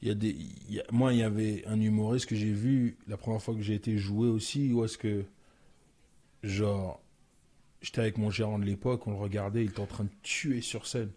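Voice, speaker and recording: A man from France, a comedian guest, telling stories in a casual podcast chat with lots of quick restarts. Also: fairly low pitch. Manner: animated storytelling, frequent stammered restarts.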